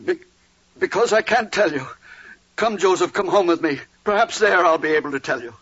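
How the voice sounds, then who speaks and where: Older bible-times dad, troubled, weighty delivery, rough husky voice, close dry old radio sound. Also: male voice, high-pitched, lively intonation, moderate pace, fairly bright tone, no echo, full character voice. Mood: troubled, halting. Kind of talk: 1940s radio drama acting. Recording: muffled 1940s radio recording.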